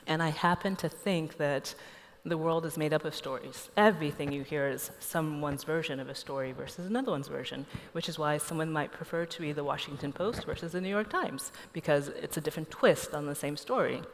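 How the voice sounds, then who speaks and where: Low-voiced woman, echoey hall. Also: a little fast, a little hiss or hum. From Nigeria. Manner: reflective, storytelling. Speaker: panelist. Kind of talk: panel discussion.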